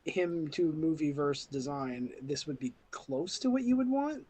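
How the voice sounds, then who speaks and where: Male panelist, voice a little on the high side, informal show-and-tell delivery. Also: animated, a little slow, warm tone, husky. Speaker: panelist presenting finds.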